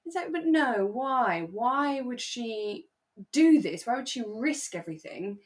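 Woman, expressive casual podcast chat. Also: on the high side, measured pace. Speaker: podcast co-host.

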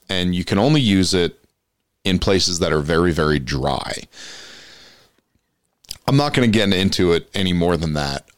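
A man's voice: low voice, dry recording, studio quality. A man hosting a podcast in casual chat, a rambling storyteller who chuckles often, his voice congested from allergies. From Western Colorado. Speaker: podcast host.

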